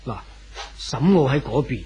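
A man playing a kindly, talkative old church pastor in a 1970s radio drama, with a Hong Kong Cantonese accent and a gentle, unhurried manner. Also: mid-range voice, animated, dull audio, a little hiss or hum, close to an everyday voice. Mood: kindly, reflective. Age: older.

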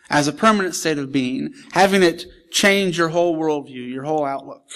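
Man giving a conversational sermon. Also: on the high side. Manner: conversational, explanatory preaching with frequent restarts. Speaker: pastor. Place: church sanctuary.

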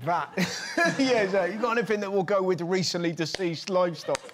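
A man's voice: high-pitched, a bit bright. A male comedy host with an English accent, joking and teasing a guest on a studio stage. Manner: teasing, quick, laughing. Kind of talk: comedy chat show banter.

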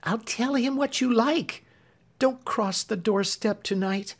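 A man's voice: takes it slowly, exaggerated voice. A man doing a flustered homely servant woman. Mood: pleading. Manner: high, fluttering, earnest.

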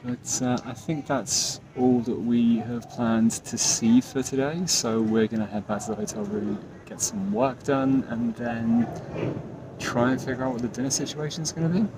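A man in his thirties giving measured explanations as a travel vlogger, filmed on a handheld phone. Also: mid-range voice, background noise. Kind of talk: casual travel vlog. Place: outdoors at temple grounds. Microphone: phone camera at arm's length.

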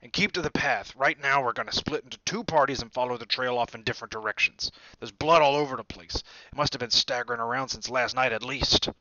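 A man voicing a big, fierce male groundskeeper with a booming, rustic way of talking. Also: fairly quick, few pauses, pushed and bright, no echo, highs cut off, lightly acted. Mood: gruff, stern. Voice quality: booming, broad rustic dialect.

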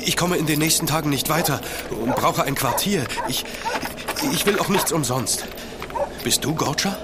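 An actor as an animated, high-voiced young traveller. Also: male voice, moderate pace, heavy background noise, lightly acted. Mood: tired, polite. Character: young exhausted traveller, polite and pleading. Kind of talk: German audio drama acting.

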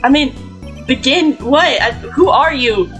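A woman's voice: high-pitched, expressive, slow pace, fairly bright tone, faint music behind, full character voice. A woman voicing a flustered young male lawyer in a comedic game fandub, stammering and exasperated. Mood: flustered, baffled.

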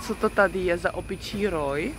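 A woman's voice: lowish voice, background noise. A woman in her twenties chatting casually as a travel vlogger, recorded on a phone at arm's length outdoors. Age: 20s. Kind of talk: casual vlog chat. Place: outdoors at campsites and streets.

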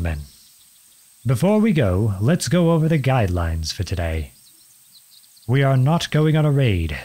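A man acting a charming, teasing young outlawed prince in an ASMR-style romance roleplay, with a husky voice and a courtly, playful manner. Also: on the low side, a little mellow. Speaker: audio roleplay performer.

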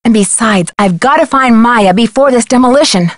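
An earnest young woman detective from a video game, played by an actress. Her voice is fairly high and articulate, worried yet determined, in a very dry recording. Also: moderate pace, dry recording, close to an everyday voice. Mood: troubled, earnest. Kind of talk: video game voice acting, phone dialogue.

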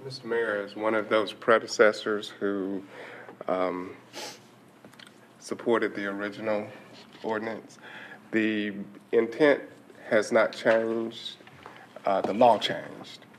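A man on a city council speaks very slowly in a low, flat voice. His remarks are measured and full of hesitant pauses, with faint room noise behind him. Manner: slow, measured, hesitant fillers. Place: council chamber.

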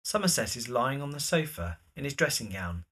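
A narrator reading scripted stage directions for an audio drama in a measured, descriptive way. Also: mid-range voice, big pitch swings, a bit bright, husky.

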